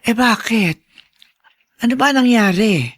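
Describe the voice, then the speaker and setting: A male actor playing a concerned, inquisitive friend in a Filipino radio drama, with expressive, drawn-out questions. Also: very high voice, husky, exaggerated voice. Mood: curious, worried.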